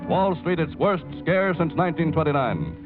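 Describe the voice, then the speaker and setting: Dramatic male newsreel announcer, emphatic and measured, tinny old radio sound with music underneath. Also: fairly high pitch, lively intonation.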